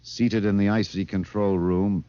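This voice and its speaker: Man playing an excitable 1950s radio reporter. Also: lowish voice, a little slow, no echo, natural voice. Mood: wry, wistful. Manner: urgent, stammering delivery. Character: excitable, insistent male radio reporter, breathless then resigned. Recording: dull vintage radio broadcast recording.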